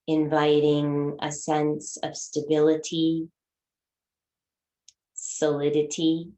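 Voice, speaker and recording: A woman teaching a guided meditation, giving soothing, unhurried instructions with long pauses between phrases. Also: sits low, completely flat delivery, warm tone, roomy sound.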